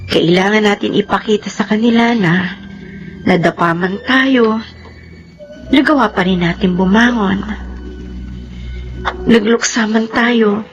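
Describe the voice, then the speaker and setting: A woman's voice: mid-range voice, dull audio, lightly acted. An actress playing an affectionate widowed mother and elder sister in a radio drama, speaking expressively. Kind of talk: Filipino radio drama acting.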